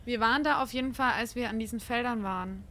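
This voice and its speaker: Higher-voiced woman in role-play chat. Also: fast, fairly bright tone. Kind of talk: improvised in-character chat in a survival role-play.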